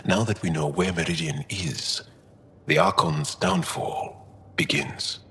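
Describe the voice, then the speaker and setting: Very husky, earnest alien warrior. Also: male voice, low voice, very animated, slow, a bit bright, exaggerated voice. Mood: triumphant. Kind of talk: video game dialogue.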